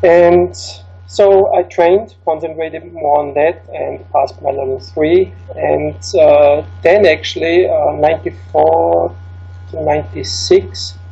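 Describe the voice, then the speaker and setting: A man's voice: on the high side, fairly even intonation, slow pace, creaky, warm tone, slightly rough, dull audio. A middle-aged man with an Austrian accent, German as his first language, telling his life story as an interview guest, with many fillers and restarts. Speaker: ski instructor.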